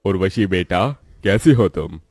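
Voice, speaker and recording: Man playing a deep-voiced, greedy scheming uncle. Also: expressive, unhurried, darkish tone, slightly rough, dry recording, exaggerated voice. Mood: falsely affectionate. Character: greedy, scheming middle-aged uncle, falsely affectionate villain. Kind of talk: Hindi cartoon moral story acting.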